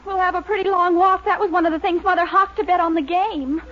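A woman's voice: very high-pitched, fairly even intonation, fast, a little hiss or hum. An actress playing a sweet young woman in a 1940s radio sitcom. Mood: worried. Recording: muffled 1940s radio recording.

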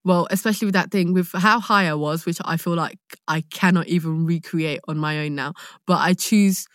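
Lower-pitched London woman, candid and close-up. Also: moderate pace, no echo. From London, England. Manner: candid, expressive, slangy, sweary. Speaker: podcast guest, actor. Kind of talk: casual chat, animated storytelling.

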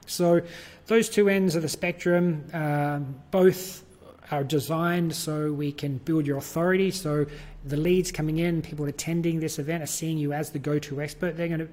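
A man's voice: on the high side, a little mellow. A man with an Australian accent, a guest in an interview, explaining his business at length with frequent fillers and light laughs. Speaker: guest, virtual events business owner. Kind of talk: conversational interview.

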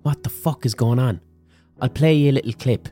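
A man's voice: mid-range voice, fairly quick, mellow, faint music behind. A middle-aged man with a Limerick Irish accent, hosting a podcast and telling a comic story with lots of swearing and mock accents. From Ireland. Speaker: podcast host. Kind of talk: comic monologue.

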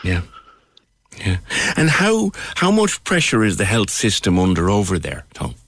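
A man's voice: fairly low pitch, huge pitch swings, unhurried, a bit bright, no echo, clean studio sound. An Irish man hosting a current affairs radio phone-in, chatty and probing, repeating phrases for emphasis.

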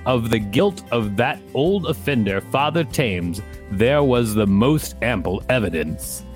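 A man's voice: mid-range voice, lively intonation, a little slow, faint music behind. A man co-hosting a podcast, reading an article aloud and adding playful, mock-indignant asides. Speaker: podcast co-host.

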